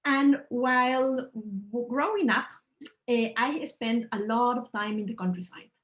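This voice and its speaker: Earnest woman from Ecuador presenting. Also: fairly high pitch. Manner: earnest, measured, slightly non-native phrasing. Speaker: panel speaker. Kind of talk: online presentation talk. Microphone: video call.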